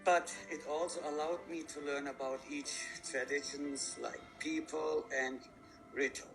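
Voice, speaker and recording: A man in his fifties who grew up in Germany speaks earnestly as a guest author. His voice sits a little high and bright, and he picks his words with care. Faint music plays underneath. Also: flat delivery, low-quality recording. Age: 50s. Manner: earnest, measured, slightly halting. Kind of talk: TV interview.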